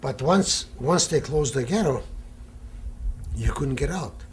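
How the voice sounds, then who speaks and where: A man in his eighties, a native Yiddish speaker, recounting his early life in a halting way, often repeating words as he searches for them. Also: mid-range voice, expressive, breathy edge. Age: 80s. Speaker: Holocaust survivor interviewee. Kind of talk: recalled testimony.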